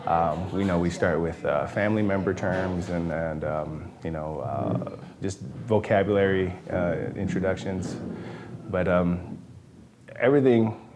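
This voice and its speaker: Deep-voiced man, hesitant interview answers. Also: unhurried, heavy background noise. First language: Samoan. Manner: hesitant, many uhs and ums. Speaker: education director.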